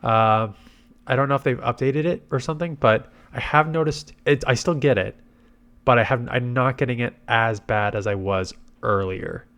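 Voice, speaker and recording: A man's voice: mid-range voice, moderate pace, fairly bright tone. A man congested with a head cold gives casual gameplay commentary, thinking aloud through his tactics. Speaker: game commentator and video host.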